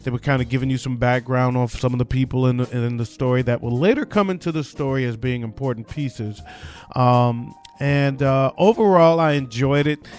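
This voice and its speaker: A man's voice: mid-range voice, moderate pace, darkish tone, faint music behind. Chatty joking male podcast host. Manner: chatty and joking, many "uh" fillers. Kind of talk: casual solo news commentary.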